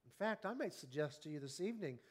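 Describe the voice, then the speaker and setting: A man's voice: on the high side, lively intonation, breathy edge. Earnest man in his fifties preaching. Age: 50s. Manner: earnest, rhetorical questions, slows to stress key words. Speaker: pastor. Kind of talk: sermon.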